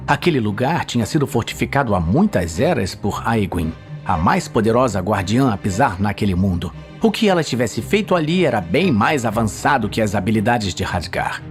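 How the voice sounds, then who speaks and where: Brazilian man narrating dramatic fantasy over music. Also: fairly low pitch. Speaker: narrator of a fantasy audio series. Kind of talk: dramatic scripted story narration. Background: music and sound effects.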